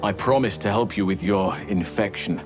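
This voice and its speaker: An actor playing a grave, earnest elf druid warrior in a video game, speaking in a measured, solemn way. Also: male voice, on the low side, over music, close to an everyday voice. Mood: grave, warning. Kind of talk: video game dialogue acting.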